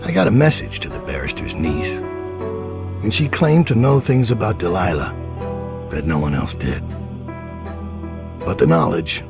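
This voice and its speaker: A grim master assassin with a deep, gravelly voice that still moves a lot in pitch. He talks terse and menacing, with music under his lines. This is game cutscene dialogue. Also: big pitch swings, a little slow, pauses often, exaggerated voice. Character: grim male master assassin, cold and calculating. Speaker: narrator and interrogator.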